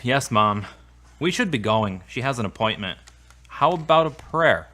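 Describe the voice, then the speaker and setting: A man's voice: medium pitch, animated, measured pace, sharp tone. A man playing an earnest, sensitive grown son with a serious manner in an audio drama. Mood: earnest, prayerful.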